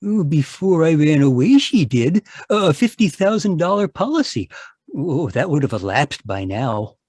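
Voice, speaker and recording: Man as nervous, fretful henpecked husband, radio play. Husky, highish, stammering. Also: big pitch swings, unhurried, darkish tone, no room sound, clean studio sound, full character voice. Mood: fretful, frightened. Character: timid, anxious runaway husband, Tom Turkey. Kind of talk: old-time radio drama acting. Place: live online performance over video call.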